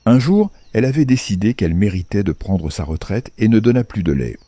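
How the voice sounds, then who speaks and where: A man narrating a scripted children's fairy tale in a playful, wry, measured storytelling manner. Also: on the low side, sing-song, mellow, a little hiss or hum.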